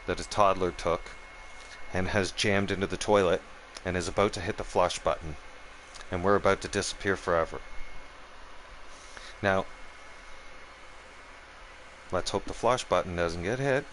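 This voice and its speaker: A man with a deep, low voice holds forth on his live stream. He swears freely, repeats himself and keeps checking in with "right?" and "okay?". A faint background hiss sits under him. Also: fairly bright tone, low-quality recording. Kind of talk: angry political rant on a live stream.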